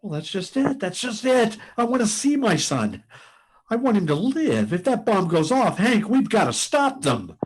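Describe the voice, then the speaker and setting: An actor playing a frantic, halting test pilot pleading to warn of doom in a sci-fi radio drama. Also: male voice, high-pitched, big pitch swings, husky, full character voice. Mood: desperate pleading. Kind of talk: live performance of 1950s sci-fi radio drama.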